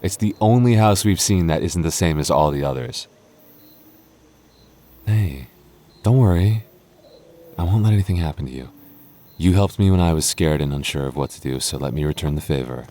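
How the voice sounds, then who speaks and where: A man's voice: a bass voice, normal speed, long pauses, warm tone. A young man voicing a nervous, tender goth boyfriend in an ASMR roleplay, stammering and repeating words. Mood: reassuring. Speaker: voice actor.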